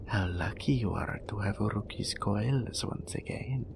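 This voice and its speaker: A man voicing a proud, mystical male naga oracle in an intimate roleplay audio drama, breathy and deliberate, referring to himself in the third person. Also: background noise, heavily acted. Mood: tender, teasing. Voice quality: breathy, whispery, gravelly low voice.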